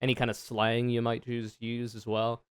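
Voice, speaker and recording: A man with a deep, smooth voice, reacting to a video in frank, casual commentary that is full of swearing. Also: completely flat delivery, moderate pace, dry recording, clean studio sound. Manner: frank, swears freely, thinks aloud.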